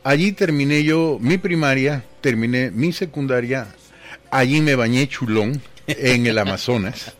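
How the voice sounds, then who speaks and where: A man's voice: mid-range voice, unhurried. A man, a radio DJ guest telling rambling stories about his life and acting out the people in them. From Guatemala. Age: 60s. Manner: rambling anecdotal storyteller, voices quoted people. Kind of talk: casual radio interview storytelling.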